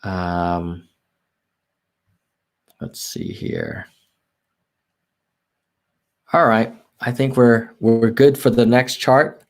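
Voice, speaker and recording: A man's voice: medium pitch, animated, extremely slow, vocal fry, long pauses. An American man hosting a casual livestream, thinking aloud over charts and reading viewer chat, with frequent ums and self-corrections. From United States. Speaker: livestream host. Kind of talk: casual data walkthrough on livestream.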